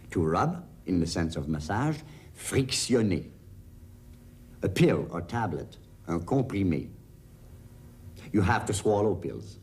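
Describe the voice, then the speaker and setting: Deliberate male language teacher, slow delivery. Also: sits low. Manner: calm, deliberate, explanatory. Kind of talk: vocabulary explanation.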